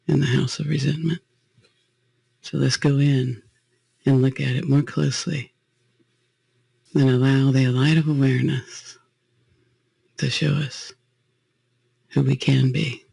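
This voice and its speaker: Husky, slow-spoken man leading meditation. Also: mid-range voice, level tone, dark tone, no echo, low-quality recording. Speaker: guided meditation leader. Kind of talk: guided meditation, spiritual talk.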